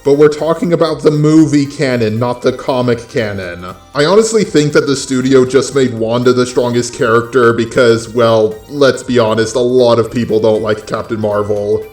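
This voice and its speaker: A synthetic male voice with a robotic sound narrates a scripted video essay. It sits at a medium pitch and delivers opinions in a tongue-in-cheek way. Music plays behind the voice. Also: darkish tone. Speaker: host of a video essay channel.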